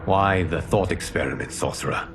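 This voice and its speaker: A man voicing a solemn, commanding god and protector, speaking in a measured, formal way for a video game cutscene. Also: sits low, background noise. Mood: grave.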